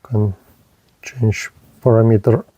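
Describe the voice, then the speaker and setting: A man's voice: fairly low pitch, fairly even intonation, slow pace, dark tone, slightly rough, no echo, dull audio. A man narrating a hands-on radio equipment test as a reviewer, speaking in short clipped phrases. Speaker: product reviewer.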